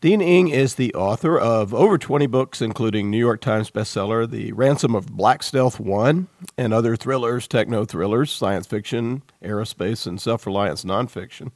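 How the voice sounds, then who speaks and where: Male podcast host, mid-pitched, friendly easy reads, dry close sound. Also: moderate pace, hardly any pauses, dry recording. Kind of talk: scripted intro then casual interview.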